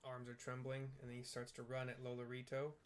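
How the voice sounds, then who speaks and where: Flat-voiced man retelling a game story. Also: medium pitch, fairly quick, noisy background. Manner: flat, plain-spoken describing. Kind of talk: casual retelling of game cutscenes.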